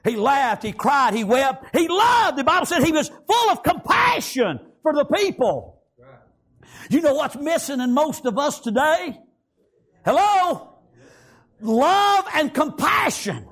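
High-pitched shouting preacher man. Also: big pitch swings, slow pace. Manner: shouting, rhythmic preaching with frequent "praise God" and "amen". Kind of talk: sermon.

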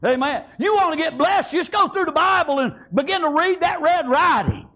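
A male preacher, delivering a shouted, rhythmic sermon full of "praise God" and "amen.". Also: very high voice, lively intonation, moderate pace.

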